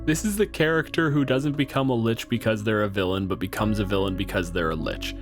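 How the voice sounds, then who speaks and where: A man's voice: on the low side, fairly quick, over music. A man in casual comedic podcast chat, a panelist with a wry, teasing way of talking.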